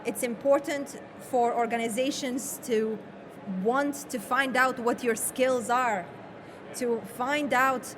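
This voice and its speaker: A woman speaking as a panel moderator, emphatic and often repeating words for weight. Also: sits high. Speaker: session host and moderator. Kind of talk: panel discussion remarks. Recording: noisy live event room.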